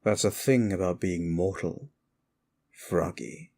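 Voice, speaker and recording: A sly male demon played by a man speaks in a low voice and takes his time with every phrase. He is a wicked manipulator in an intimate ASMR audio roleplay, teasing his listener with condescending ease. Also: slow, vocal fry, dark tone, heavily acted. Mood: sly, brooding.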